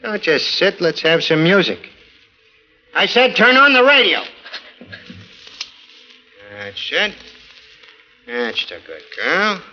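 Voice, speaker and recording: An actor playing a bitter, jealous, hard-drinking snoop of a husband, sardonic and menacing, in theatrical 1940s radio melodrama style. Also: male voice, high-pitched, sing-song, takes it slowly, pauses often, pushed and bright, full character voice. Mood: taunting, menacing. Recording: muffled 1940s radio recording with music.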